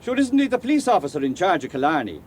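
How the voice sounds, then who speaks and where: Chatty Irish superintendent, genial, sly, folksy, rather high voice, sing-song swings, radio play. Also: male voice, sing-song, fast talker, a little hiss or hum. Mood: genial, confident. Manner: folksy, cajoling, garrulous. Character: genial, talkative, canny Irish police superintendent. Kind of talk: radio drama acting.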